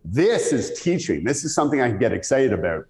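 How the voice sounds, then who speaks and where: A man's voice: mid-range voice, very animated, brisk, darkish tone. A middle-aged Canadian man with a radio-style voice, telling conversational anecdotes as an interview guest. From Ontario, Canada. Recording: echoey room with long reverb.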